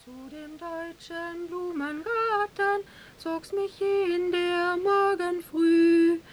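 High-voiced, unhurried young sultan's daughter. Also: female voice, fairly even intonation, faint music behind, full character voice. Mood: wistful singsong. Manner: theatrical, lilting verse delivery. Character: young sultan's daughter, forthright, lovestruck and playful. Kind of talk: rhymed verse fairy-tale audio drama.

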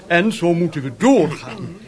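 An actor playing a genteel, patronising aristocratic marquis in a radio drama. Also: male voice, a little high, animated, measured pace. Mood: approving, amused.

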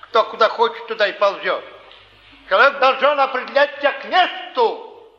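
An actor playing a smug, bullying older flophouse keeper on stage, with a drawn-out, sanctimonious, needling delivery. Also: male voice, extremely high pitch, expressive. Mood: needling, preachy. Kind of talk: theatrical stage acting. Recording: dull archival stage recording, long echoing hall.

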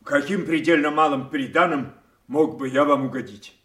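A man's voice: a little high, moderate pace, fairly bright tone, natural voice. An actor playing a proud, hot-tempered old king in a theatrical audio drama, grand, commanding and declamatory. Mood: cold, scornful. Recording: roomy echo, somewhat distant from microphone.

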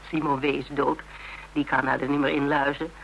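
High, sharp-tongued confidant. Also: male voice, level tone, moderate pace, creaky, highs cut off, slight background noise. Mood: mocking, skeptical. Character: sharp, teasing, skeptical confidant of a policeman. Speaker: actor in a radio drama. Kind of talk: radio drama dialogue.

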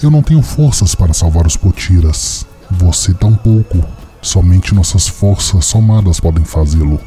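A man's voice: sits low, expressive, normal speed, mellow, soft background music. A man voicing a majestic giant she-wolf deity in a fantasy audio drama, solemn, formal and commanding. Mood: grave, commanding.

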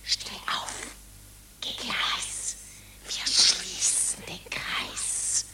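A woman playing an eerie war profiteer, chanting hushed verse in an old German radio play. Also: drawn-out words, in a whisper, heavily acted, rough recording. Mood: sinister hush. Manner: hushed, incantatory, repetitive.

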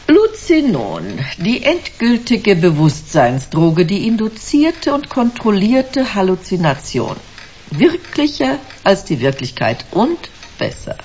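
A woman's voice: mid-range voice, very animated, slow pace, darkish tone, husky, heavily acted. An actress playing a smug, commanding company director in a German sci-fi radio drama, suave and theatrical, savouring her words. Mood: seductive sales pitch. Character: smug, commanding female corporate director selling a drug. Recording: dull, hissy radio play recording.

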